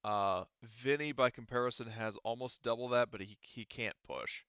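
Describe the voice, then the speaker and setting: A man's voice: mid-range voice, moderate pace, fairly bright tone, clear, resonant tone, dry recording, dull audio. A man running a tabletop role-playing game, explaining things casually and hesitantly as he thinks aloud. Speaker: game master.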